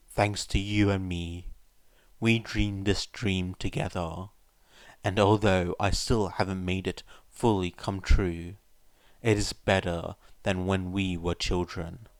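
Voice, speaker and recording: A man voicing a weary, proud old retired clan leader in a slow, wry, reflective way for a fan fiction reading. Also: sits low, dry recording. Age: elderly.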